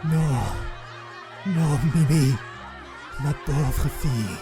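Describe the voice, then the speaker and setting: An actor playing a tender, anxious French father figure in an audio drama, with a French accent and a gentle, coaxing manner. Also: fairly high pitch, very slow, music in the background. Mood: heartbroken. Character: tender, anxious French father-like illustrator comforting his creation.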